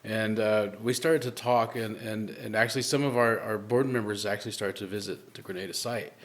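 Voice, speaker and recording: A man with a fairly low voice, a guest at a live podcast taping. He talks earnestly, feeling his way through each thought with pauses and restarts. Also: fairly even intonation. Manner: halting, many fillers and restarts, earnest. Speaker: guest speaker.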